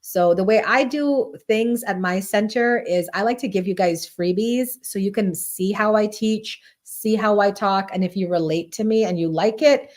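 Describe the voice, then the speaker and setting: A woman's voice: mid-range voice, moderate pace, few pauses, darkish tone. A woman in her forties, from Barbados and Guyana, chatting through a live-streamed tarot lesson and greeting viewers by name. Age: 40s. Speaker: tarot teacher. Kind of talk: live-stream teaching talk.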